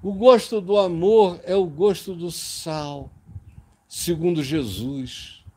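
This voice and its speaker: A man with a high voice delivers an impassioned sermon. He speaks slowly and emphatically, letting each phrase land. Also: mellow, slightly rough. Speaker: preacher.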